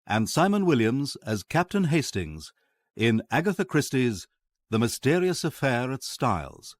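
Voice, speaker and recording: A man's voice: mid-range voice, sing-song, measured pace, no echo. A man reading formally as a radio drama announcer. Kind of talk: formal broadcast credits reading.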